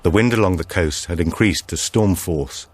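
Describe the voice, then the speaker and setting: A man reading a supernatural thriller as an audiobook narrator, in a measured, deliberate storytelling manner. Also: low-pitched, husky.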